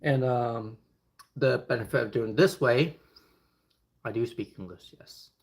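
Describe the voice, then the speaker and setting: A man's voice: mid-range voice, a little slow, vocal fry. A young man whose first language is Ukrainian/Russian, chatting casually with viewers as he cooks on stream, rambling between tasks. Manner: rambling and chatty, answering chat mid-task. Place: home kitchen. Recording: echoey kitchen with faint noise.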